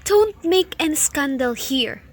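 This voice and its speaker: Woman as high-pitched imploring mother. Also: expressive, measured pace. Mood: pleading. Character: pleading anxious mother protecting her daughter's reputation. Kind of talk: amateur radio drama acting.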